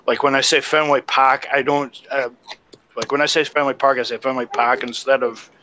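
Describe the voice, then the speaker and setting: Boston guy chatting with friends online, restarts a lot, bright voice. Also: mid-range voice, steady tone, moderate pace, poor audio. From Boston area. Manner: rambling, restarts often. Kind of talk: casual group chat.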